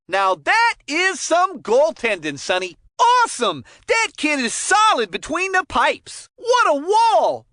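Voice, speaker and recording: An actor playing an excitable, folksy cartoon kids' hockey commentator in a video game, full of slangy exclamations. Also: high-pitched, no room sound, clean studio sound, exaggerated voice. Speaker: sports commentator. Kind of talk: animated play-by-play game commentary.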